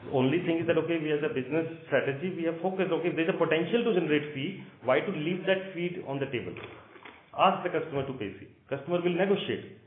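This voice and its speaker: A man's voice: fairly high pitch, fast, slight background noise. Roomy, phone-line Indian male executive. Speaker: company executive answering analyst questions. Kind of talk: spontaneous earnings call answers. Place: conference call.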